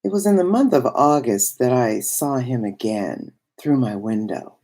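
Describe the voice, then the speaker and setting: A woman voicing a wistful, awestruck woman of biblical times in a dramatic literary monologue, with hushed, reverent phrasing and a breathy, husky voice that often drops toward a whisper. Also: rumbling low, lively intonation, measured pace, exaggerated voice. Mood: wistful, awed. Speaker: reader.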